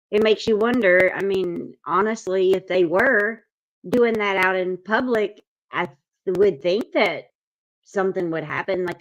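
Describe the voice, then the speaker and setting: A woman's voice: lowish voice, low-quality recording. A woman giving casual, skeptical reaction commentary with emphatic phrasing.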